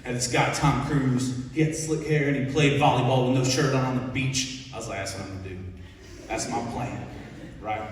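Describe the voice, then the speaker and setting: A young man in his twenties with an Ohio accent gives an easygoing, conversational talk to a crowd of students. His voice sits at an ordinary middle pitch. It echoes around a big hall and sounds as if it was picked up from well back in the room. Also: steady tone, moderate pace, far from the mic, a little hiss or hum. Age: 20s. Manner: folksy and conversational, keeps checking in with the audience. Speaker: Navy petty officer, guest speaker. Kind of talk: informal speech at a school assembly. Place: large echoing school hall. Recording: echoey hall recorded from afar.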